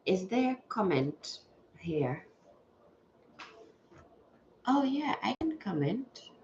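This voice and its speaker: A woman's voice: mid-range voice, sing-song, drawn-out words, a little mellow, a little hiss or hum. A woman hosting a casual livestream, stuffy-nosed and chatty, greeting viewers as she talks. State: stuffy nose. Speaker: livestream host. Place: home setup at a table. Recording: echoey room, dull lo-fi sound.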